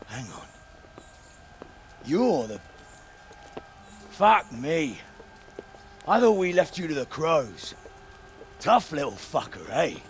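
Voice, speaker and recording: Game villain: hulking bandit thug, menacing, drawn-out taunts, extreme pitch swings. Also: male voice, sing-song, a little hiss or hum. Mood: menacing disbelief. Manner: taunting, slow, swinging from shouts to growls. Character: menacing, mocking, foul-mouthed bandit brute, huge man. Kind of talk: video game cutscene acting. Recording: loud music behind.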